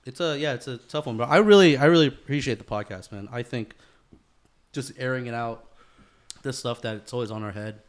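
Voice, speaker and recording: A man's voice: mid-range voice, fairly quick. A man talking as a podcast host in a casual chat, earnest and sweary, with bro-style slang.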